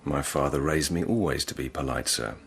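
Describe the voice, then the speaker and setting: A humble-born police lieutenant at an imperial court in a radio drama. His voice is extremely low and slightly husky, and he is courteous and measured, deferring to rank while quietly pressing on. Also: full character voice. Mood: polite, probing.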